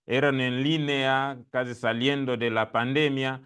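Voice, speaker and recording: Congolese man, smooth voice, halting Spanish. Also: medium pitch, little pitch movement, a bit bright. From Democratic Republic of the Congo. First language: not Spanish; spoke Italian for years before. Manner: hesitant, many fillers and restarts. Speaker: doctoral student panelist. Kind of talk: academic panel talk. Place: lecture room at a university event.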